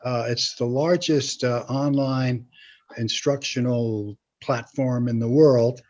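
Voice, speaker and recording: An older man chatting casually as an interview guest, speaking haltingly with small chuckles. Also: mid-range voice, fairly even intonation, darkish tone. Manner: halting, chuckles while talking. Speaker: guest, comedy writer and teacher. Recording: slightly dull lo-fi sound.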